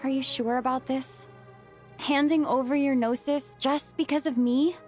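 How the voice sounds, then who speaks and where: A woman voicing an earnest, modest young female adventurer in a video game, speaking gently. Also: sits high, steady tone, normal speed, lightly acted. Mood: surprised, questioning. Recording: game music behind.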